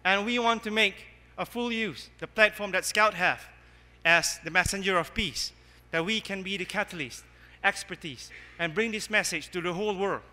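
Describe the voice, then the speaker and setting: Earnest young man, bright rallying speech. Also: sits high, moderate pace, hardly any pauses. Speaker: candidate giving a campaign speech. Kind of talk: prepared speech at a podium. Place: large conference hall. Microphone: podium microphone. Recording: long hall reverb.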